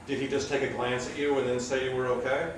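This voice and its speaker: A man's voice: medium pitch, flat delivery, quick pace, natural voice. Stage drama: man as persistent interrogator, pointed and doubting, distant in a heavily echoing room. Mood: probing. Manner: probing, pointed. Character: persistent, skeptical interrogator of a war criminal. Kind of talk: staged play reading. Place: indoor room at a live staged reading, distant mic. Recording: very echoey, noisy room, distant mic.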